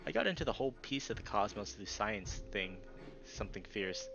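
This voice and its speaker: A man's voice: on the low side, lively intonation, a little fast, bright, forceful tone, highs cut off. A man voicing a nerdy, earnest male salamander writer in a visual novel. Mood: earnest, enthusiastic. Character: nerdy male salamander sci-fi writer, defensive but enthusiastic. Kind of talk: visual novel character dialogue.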